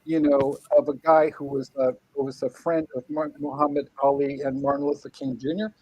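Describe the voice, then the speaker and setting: A man's voice: fairly high pitch, flat delivery, brisk, dark tone, breathy edge, no echo, low-quality recording. A middle-aged man, a guest writer on a video call, ranting angrily with swearing, repeated words and stumbling restarts. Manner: angry, profane, repeats himself, stumbling restarts. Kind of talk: angry livestream rant.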